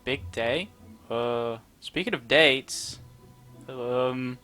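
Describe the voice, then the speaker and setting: A man's voice: mid-range voice, drawn-out words, pushed and bright. A man giving casual, amused and teasing reaction commentary as he hosts a gaming video. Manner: amused, teasing, laughs often. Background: game music.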